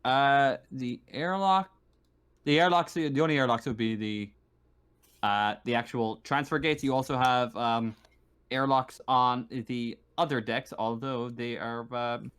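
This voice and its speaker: A man's voice: mid-range voice, fairly bright tone, clear, resonant tone. The guy at the head of an online role-playing night, working out the answer as he says it. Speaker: game master. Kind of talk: narrating a tabletop game, casual improvising. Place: online voice call.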